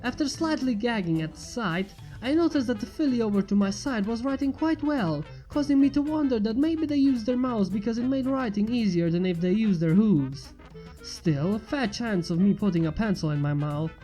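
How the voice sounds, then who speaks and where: A woman's voice: a little high, expressive, moderate pace, dark tone, poor audio. A woman reading a first-person fan fiction story aloud as its narrator. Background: faint music.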